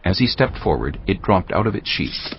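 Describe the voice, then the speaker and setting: A man's voice: sits low, sing-song, normal speed, a little hiss or hum. A man reading scripted narration for a dramatized audio Bible. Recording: dull band-limited audio with music bed.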